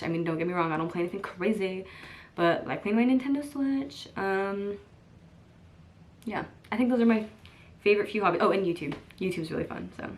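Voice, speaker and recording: A woman in her twenties chats to camera about herself in a relaxed vlog. Her voice sits a little low, and she rambles warmly, filling gaps with "like" and breaking into small laughs. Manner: chatty, lots of "like" and "um", laughs easily. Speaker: vlogger. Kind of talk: casual get-to-know-me chat.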